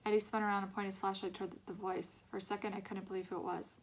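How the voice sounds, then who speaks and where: A woman storyteller reads a book aloud in a medium-pitched voice. Her narration is expressive and follows the story phrase by phrase. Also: fast.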